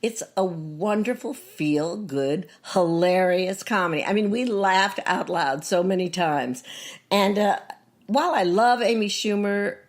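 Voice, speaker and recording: A woman co-hosting a podcast in casual chat, hoarse and scratchy today, talking with gushing enthusiasm. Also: medium pitch, unhurried. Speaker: podcast co-host.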